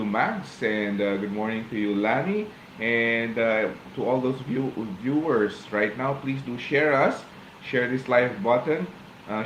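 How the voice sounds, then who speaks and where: A man with a Filipino accent hosting a live online interview, speaking casually and haltingly with many fillers and restarts. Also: mid-range voice, fairly bright tone, slight background noise. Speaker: host of a live online show. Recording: roomy echo.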